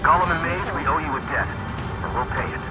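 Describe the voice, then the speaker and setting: An actor playing an earnest fighter flight leader who gets anxious under fire, over pilot radio in a video game. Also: male voice, on the high side, fast, loud music behind the voice. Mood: grateful.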